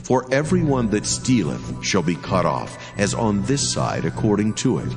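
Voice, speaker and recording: A solemn angel of God, with a very low voice ringing in a long hall over music. He declaims scripture drama in a grave, measured and commanding way. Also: big pitch swings. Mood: stern. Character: solemn commanding male angel speaking God's word.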